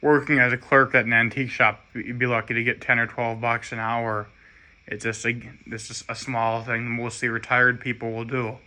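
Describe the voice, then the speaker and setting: An American man giving a rambling, halting personal monologue in an earnest, aggrieved manner. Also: medium pitch, flat delivery, pushed and bright.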